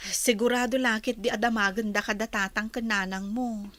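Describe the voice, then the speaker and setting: A woman's voice: a little high, fairly even intonation, normal speed, fairly bright tone, no room sound, natural voice. A woman with an Ilocano accent playing a sincere young graduate in a radio drama. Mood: understanding.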